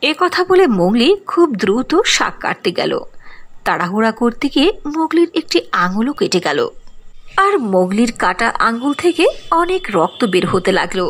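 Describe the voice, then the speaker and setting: Lively woman telling a cartoon fairy tale. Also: fairly high pitch, normal speed, slightly rough. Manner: expressive and storytelling. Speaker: narrator. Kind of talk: storybook narration.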